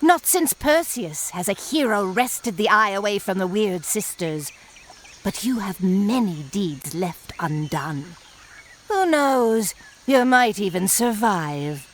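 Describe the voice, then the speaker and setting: An actress playing a haughty, ominous sorceress in a fantasy game, with an imperious, theatrical delivery. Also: female voice, medium pitch, lively intonation, slow, slight background noise. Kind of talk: video game cutscene acting.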